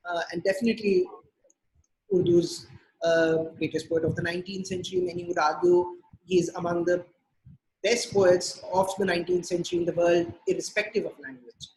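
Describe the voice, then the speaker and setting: High-voiced man, thoughtful and hesitant. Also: a little mellow, rough recording. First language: Urdu. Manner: measured, many filler pauses. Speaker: translator and poet, interview guest. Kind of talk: thoughtful interview answers. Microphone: video call.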